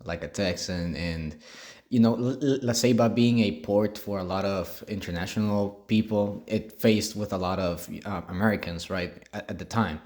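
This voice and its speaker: Casting: young male student interviewer, lowish voice, casual, halting questions. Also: a little mellow. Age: young adult. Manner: casual, many filler likes and restarts. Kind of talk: class project interview.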